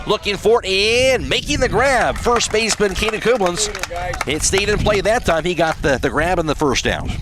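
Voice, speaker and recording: A man doing live radio play-by-play of a baseball game, calling each pitch in short clipped fragments. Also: expressive, moderate pace, noisy background. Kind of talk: live sports play-by-play commentary. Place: outdoor ballpark broadcast.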